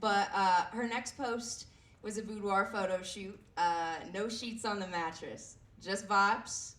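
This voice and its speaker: A woman in her twenties with a raspy voice, performing a self-deprecating stand-up set with lots of fillers and halting restarts. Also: medium pitch, steady tone, fairly bright tone. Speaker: stand-up comedian. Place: comedy club stage. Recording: echoey room heard from a distance.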